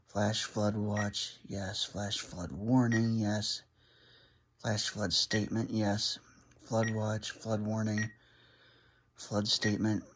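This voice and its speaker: A man doing casual, hands-on narration of a gadget setup, thinking aloud as he reads items off and comments on them. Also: fairly low pitch. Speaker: vlogger demonstrating a gadget.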